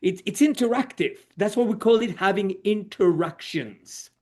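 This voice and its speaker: A man's voice: high-pitched, lively intonation, moderate pace, mellow. A middle-aged man from Switzerland, a social coach guest, telling his story in a reflective way with many "you know"s. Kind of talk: conversational podcast interview.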